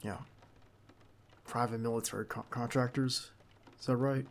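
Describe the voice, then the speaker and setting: Casting: male actor as protective bodyguard for ASMR roleplay; creaky, mid-pitched, hushed and reassuring, some background noise. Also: fairly even intonation, close to an everyday voice. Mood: uneasy, conversational. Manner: hushed, halting, reassuring, close to the listener. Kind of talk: intimate ASMR roleplay acting.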